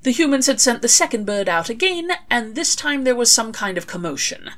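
A woman narrating a scripted story in an expressive, wry storytelling manner. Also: fairly high pitch, a little fast. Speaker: author reading own fan fiction.

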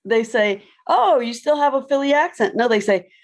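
A woman's voice: on the high side, animated, normal speed, a little hiss or hum. A woman explaining ideas thoughtfully in a casual panel discussion.

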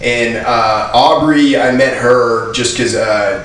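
A man's voice: mid-range voice, slow pace, fairly bright tone, far from the mic. A man from Maine hosting a podcast, chatting casually and informally about local music with some swearing. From Maine, USA. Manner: profane, informal. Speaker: podcast host. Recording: very echoey room, off-mic, faint noise.

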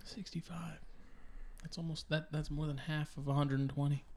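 A soft-spoken man co-hosting a podcast in casual chat. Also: fairly high pitch, little pitch movement, normal speed, vocal fry, slight background noise. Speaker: podcast co-host.

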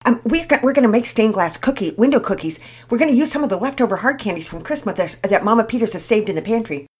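A woman doing a fast-chattering girl. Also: sits high, wildly swooping pitch, voice pushed up, heavily acted. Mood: chatty. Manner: rapid, chattering. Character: fast-talking cheerful girl.

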